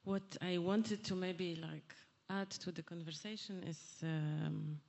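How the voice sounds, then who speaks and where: A woman asking a question at a public event, speaking haltingly with many fillers and restarts. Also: on the low side, fairly even intonation, gravelly, darkish tone. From Poland. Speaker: audience member asking a question. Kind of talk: hesitant public remarks. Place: theatre hall at a public event.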